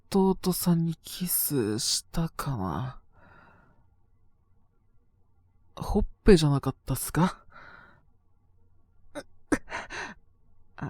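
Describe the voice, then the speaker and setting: A man's voice: fairly high pitch, a little mellow, breathy edge, no room sound, studio quality, exaggerated voice. A man playing a sick, sleepy young boyfriend in an audio drama, grumbling casually in a murmur, exasperated yet fond. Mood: irritated, tired. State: coughing, acting sick with a cold. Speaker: voice actor. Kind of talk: Japanese situation voice drama aimed at listeners.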